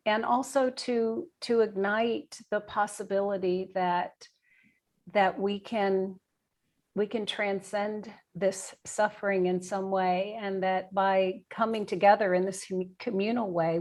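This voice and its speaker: A woman gives a reflective interview answer at a very slow pace. She searches for her words, often repeating a phrase before she moves on. Her voice sits at an average pitch. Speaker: guest, nursing and bioethics professor.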